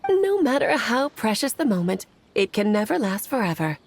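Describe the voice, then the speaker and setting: A woman's voice: on the high side, big pitch swings, moderate pace. An actress playing a playful, theatrical grown witch in a video game, eloquent, airy and teasing. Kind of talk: video game cutscene acting.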